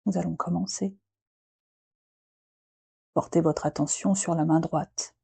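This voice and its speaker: A woman guiding a yoga nidra relaxation in a soft, breathy voice, speaking gently in short phrases with long, unhurried pauses. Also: low voice, fairly even intonation, no echo. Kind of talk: guided relaxation meditation, slow and soothing.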